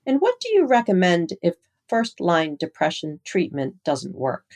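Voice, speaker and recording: A woman's voice: lowish voice, wildly swooping pitch, a little slow, darkish tone. A woman interviewing a medical expert, putting structured questions in a polite, orderly way. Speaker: interviewer, medical journal editor.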